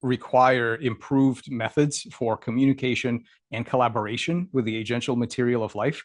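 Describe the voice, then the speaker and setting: A man giving a scientific lecture over slides, halting with many fillers and repeats, and losing his voice. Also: mid-range voice, fairly even intonation, no echo, clean studio sound. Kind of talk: academic talk over slides.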